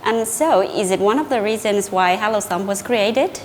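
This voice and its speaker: Formal woman interviewer, echoey room. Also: medium pitch. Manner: formal and measured. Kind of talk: asking prepared interview questions. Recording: echoey room with faint noise.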